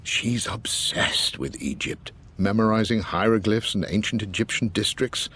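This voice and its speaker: An actor playing a loyal, elderly English butler in a video game, speaking formally and courteously with a British accent. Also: male voice, fairly low pitch, a little slow, a bit bright, breathy edge. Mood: fond, concerned. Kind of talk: dramatic voice acting in a video game.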